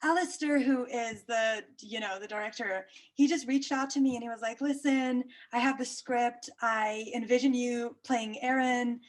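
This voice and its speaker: A woman actress from South Africa, a native Afrikaans speaker with a South African accent, chatting brightly in an interview and laughing often. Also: on the high side, fairly even intonation, moderate pace, few pauses. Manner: bubbly and chatty, laughs often, many fillers.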